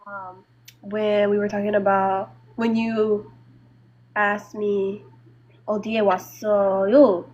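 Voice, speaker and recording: A young woman co-hosting a live study stream, chiming in with quick remarks and reminders. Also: mid-range voice, flat delivery, darkish tone, dull audio. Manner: quick interjections and short reminders to her sister. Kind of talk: casual live-stream chat.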